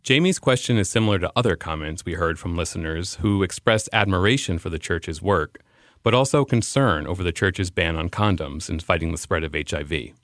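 A man hosting a podcast, giving scripted narration in a measured, earnest way. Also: deep voice, a bit bright, no echo, clean studio sound. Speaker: podcast host.

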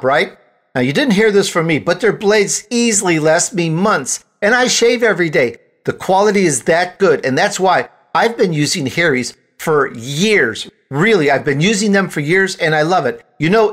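A man's voice: sits high, a bit bright. A man hosting a podcast in a chatty salesman's delivery, throwing in rhetorical questions. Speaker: podcast host. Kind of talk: interview hosting and scripted ad reading.

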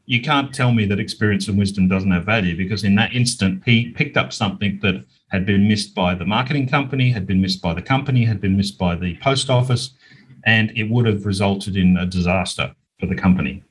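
A middle-aged man with an Australian accent talks in a rather deep, husky voice. He explains his points in an earnest, conversational way, as a guest being interviewed. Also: brisk. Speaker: podcast guest, business owner.

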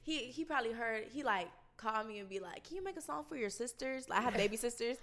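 A woman's voice: fairly high pitch, expressive, fast talker, fairly bright tone. A woman in her twenties, a rapper guest on a podcast, talking in a laid-back, slangy way and laughing often. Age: 20s. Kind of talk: casual podcast interview.

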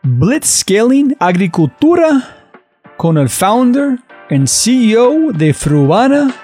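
A male podcast host in casual interview style, animated and curious, asking questions in quick bursts. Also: extremely high pitch, soft background music.

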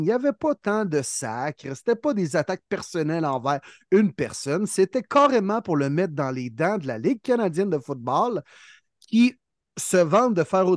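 Quebecois guy cohosting a football podcast, worked up, laughs a lot, pretty high voice. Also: dry recording, clean studio sound. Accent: Quebec French. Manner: excited, laughs often, swears casually. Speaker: podcast co-host. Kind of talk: casual sports chat. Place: podcast studio.

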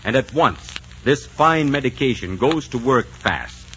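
Male vintage radio announcer, smooth sales patter, friendly, muffled and lightly noisy recording. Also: medium pitch, expressive, a little slow, fairly bright tone. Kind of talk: 1940s scripted radio commercial narration.

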